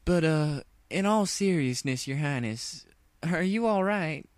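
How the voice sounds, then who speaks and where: Man putting on a high, folksy farm pony. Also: expressive, unhurried, dry recording. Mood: polite, uneasy. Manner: backcountry, hesitant, folksy. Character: folksy, polite female country farm pony.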